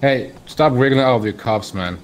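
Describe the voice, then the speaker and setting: A man's voice: medium pitch, moderate pace, a little hiss or hum. A man role-playing a police officer in an online game, talking in informal, procedural street-cop style.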